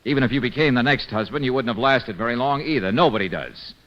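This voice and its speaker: A sardonic male private eye from an old radio mystery, played by an actor. He needles and probes with a very animated, mid-pitched voice. The recording is dull and lo-fi. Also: quick pace, lightly acted. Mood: pressing. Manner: sardonic, probing. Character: hard-boiled, needling male private detective. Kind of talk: old-time radio detective drama acting.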